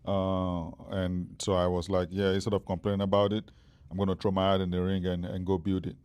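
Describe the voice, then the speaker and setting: A man's voice: low-pitched, completely flat delivery, a little fast, darkish tone, clear, resonant tone. A Nigerian man in his forties, a startup founder, answering interview questions with many fillers and restarts. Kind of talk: interview conversation.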